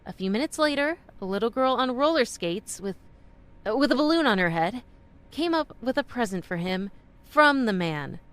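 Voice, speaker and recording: A woman's voice: on the high side, animated, moderate pace, fairly bright tone, smooth. An actress playing an earnest young mother in an old-time radio drama, polite and hesitant, easily flustered. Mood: earnest, explaining.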